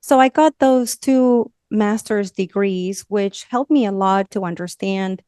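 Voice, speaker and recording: Argentine woman with a smooth voice. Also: medium pitch, a little slow, dark tone, dry recording, studio quality. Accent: Argentine Spanish. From northern Argentina. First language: Spanish. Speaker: guest, communication coach. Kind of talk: podcast interview.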